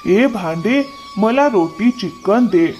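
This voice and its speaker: Man playing poor kindly cartoon labourer, playful, animated intonation, slow delivery. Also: high-pitched, faint music behind. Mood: hopeful. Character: poor, good-hearted, playful working man. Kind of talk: cartoon story dialogue.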